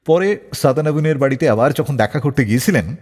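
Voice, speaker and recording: A man's voice: mid-range voice, animated, fairly quick, darkish tone, breathy edge. A man hosting a music radio show, narrating scripted stories in a dramatic storyteller's manner. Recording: long reverb, music behind the voice. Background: background music.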